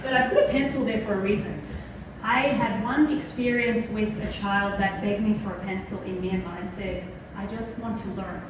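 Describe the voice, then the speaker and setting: A woman's voice: medium pitch, fairly even intonation, moderate pace, distant mic, noisy background. A woman with an Australian accent giving a chatty, enthusiastic keynote to a small audience, with plenty of fillers. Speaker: keynote speaker. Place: lecture hall. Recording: very echoey hall, heard from afar.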